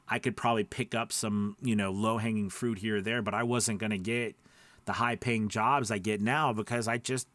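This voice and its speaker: A man's voice: mid-range voice, dry recording. A man giving an informal instructional talk as a coach, conversational and emphatic, often repeating points and checking in with listeners. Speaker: voice-over coach and video host.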